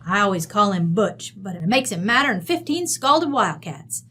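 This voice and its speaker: A woman's voice: mid-range voice, big pitch swings, normal speed, fairly bright tone, slight background noise. A woman playing a brash, folksy, middle-aged rancher widow with an American West accent, blunt, bossy and slangy, in an old-time radio drama. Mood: breezy, blunt.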